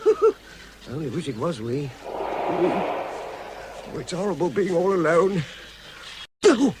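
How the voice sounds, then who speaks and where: An actor playing a timid, fretful hobbit in a radio fantasy drama, stammering and talking to himself. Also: male voice, a little high, huge pitch swings, slow pace, noisy background, full character voice. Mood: lost, anxious. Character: timid, fretful hobbit finding sudden courage. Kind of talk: full-cast radio fantasy acting.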